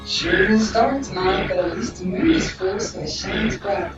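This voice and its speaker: A woman's voice: medium pitch, expressive, normal speed, lightly acted. A woman playing a polite young woman on an evening stroll, chatting lightly in an amateur audio drama. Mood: pleasant. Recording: very echoey, muffled, distant, music behind.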